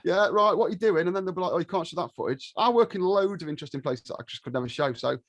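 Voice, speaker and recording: A man's voice: high voice, very fast, fairly bright tone, dry recording. A man with a British accent chatting casually as a podcast guest, sweary and cheeky with a joking manner.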